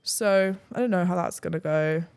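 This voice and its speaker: A woman's voice: on the low side, normal speed, gravelly, darkish tone, clear, resonant tone. A young woman from England chatting casually as a podcast guest, rambling with lots of fillers and easy laughter. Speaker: travel vlogger guest.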